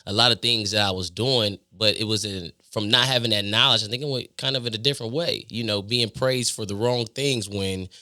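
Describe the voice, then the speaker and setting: A man from Indiana hosting a podcast, asking questions in a relaxed, agreeable, conversational way. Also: on the low side, brisk, few pauses, no echo, studio quality. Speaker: podcast host. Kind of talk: casual interview.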